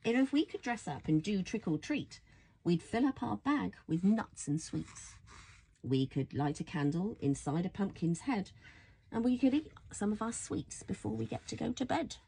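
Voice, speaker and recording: A woman reads a children's bedtime story aloud in a soft, husky voice, affectionate and playful, full of wonder. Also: medium pitch, sing-song, normal speed. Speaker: storybook reader.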